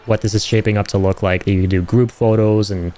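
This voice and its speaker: A man's voice: fairly low pitch, fairly even intonation, a little fast, dark tone, slight background noise. A man giving casual, enthusiastic reaction commentary over game footage in an informal, slangy, chatty way. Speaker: host of a gaming reaction channel.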